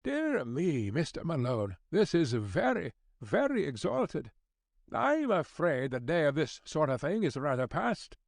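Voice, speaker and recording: A man's voice: on the high side, sing-song, measured pace, vocal fry, no room sound, clean studio sound, heavily acted. A man voicing a crabbed but kindly old Scottish news editor, fussy and avuncular, in an audiobook. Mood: doubtful, fussy. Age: older. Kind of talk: audiobook character dialogue.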